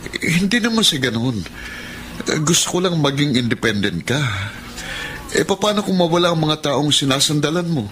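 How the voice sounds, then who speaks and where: A man's voice: a little high, expressive, moderate pace, pauses often, a bit bright. A man acting in a radio drama as a devoted, put-upon husband, placating and earnest. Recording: noisy radio drama with background ambience.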